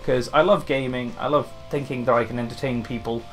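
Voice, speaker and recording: A young man with a faint Welsh lilt talks in a loose, rambling way, doubling back on his words with plenty of "uh" and "you know". His voice sits at an ordinary middle pitch. Soft guitar music plays faintly underneath. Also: fairly even intonation, a little fast. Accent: light Welsh. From Wales. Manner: chatty, rambling, frequent restarts and fillers. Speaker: gaming YouTuber. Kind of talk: casual Q&A chat to camera.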